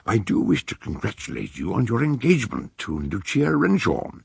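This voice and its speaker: An actor playing a genial, learned detective doctor reading a letter aloud in a radio drama, with courtly, orotund phrasing and a gravelly voice. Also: male voice, fairly low pitch, huge pitch swings, moderate pace, no room sound, clean studio sound, full character voice. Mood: genial, wry.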